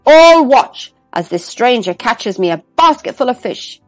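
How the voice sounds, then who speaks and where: A woman's voice: fairly high pitch, very animated, a little slow, dry recording, faint music behind. A woman reading a storybook aloud and voicing a proud, demanding male king who barks orders. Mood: imperious. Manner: haughty, commanding, shouting orders.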